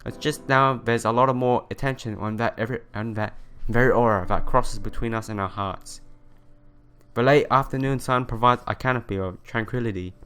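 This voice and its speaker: A man hosting a let's play, reading visual novel narration aloud in an expressive storytelling manner. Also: mid-range voice, a little fast, smooth. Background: background music.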